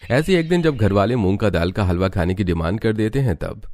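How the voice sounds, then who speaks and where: A man narrating a scripted animated family story. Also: on the low side, fairly quick, no room sound.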